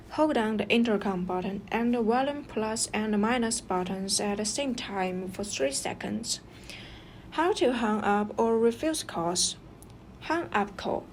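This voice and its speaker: A woman's voice: medium pitch, slight background noise. A woman presenting a product review as scripted narration, explaining things step by step in an instructional way.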